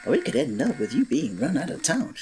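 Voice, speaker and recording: A woman performing a scripted villain roleplay, breathy and theatrical, exasperated and swinging abruptly between registers. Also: deep voice, huge pitch swings, brisk, darkish tone. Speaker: ASMR voice actor playing a villain.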